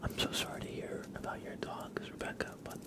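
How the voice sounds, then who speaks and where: A man hosting an ASMR livestream, chatting casually and softly to viewers in an unhurried way, close to the microphone. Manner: soft-spoken, mostly whispering, unhurried.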